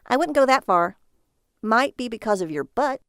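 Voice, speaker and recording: A woman acts out a sardonic wife in a storybook reading. Her voice lifts high and stretches words mockingly, exasperated yet fond, in a dry, close recording. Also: animated, normal speed, fairly bright tone, clean tone, no room sound. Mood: fondly teasing.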